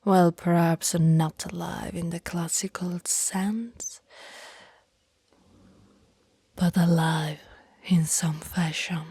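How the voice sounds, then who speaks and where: Ancient female vampire played by a woman, tender and mournful, low breathy whisper for ASMR roleplay, unhurried. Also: steady tone, mellow, no echo, clean studio sound, full character voice. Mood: tender, melancholy. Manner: breathy, slow, archaic formal phrasing with sighs. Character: centuries-old lovestruck female vampire, sorrowful and tender.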